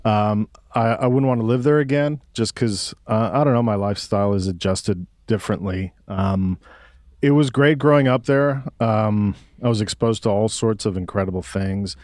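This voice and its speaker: A man's voice: lowish voice, normal speed, darkish tone, no room sound, clean studio sound. A man in his forties from Mexico City, chatting casually and thinking aloud as an interview guest, with laid-back slang. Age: 40s. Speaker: guest musician. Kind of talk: casual reflective interview chat.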